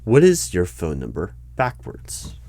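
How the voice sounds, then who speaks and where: A man doing an ASMR interview roleplay, asking questions in a deadpan, clipped manner. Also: deep voice, measured pace, slight background noise. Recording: keyboard typing heard throughout. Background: keyboard typing and clicks.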